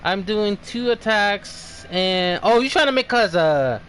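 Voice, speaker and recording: A man hosting a game livestream in casual chat, thinking aloud in a halting way. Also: high-pitched, animated, fairly bright tone, slight background noise.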